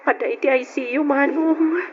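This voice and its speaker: Actress as serious, anxious young aunt in an old radio serial, high voice over a noisy, dull recording. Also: female voice, steady tone, normal speed, lightly acted. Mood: grave, worried. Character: grave, worried young aunt. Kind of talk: old Ilocano radio drama acting.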